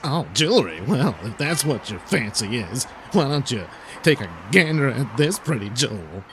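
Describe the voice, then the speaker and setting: A man's voice: medium pitch, big pitch swings, normal speed, fairly bright tone, slight background noise, poor audio. A man playing a sly, taunting supervillain hypnotist, mocking and theatrical, in a comedic radio serial.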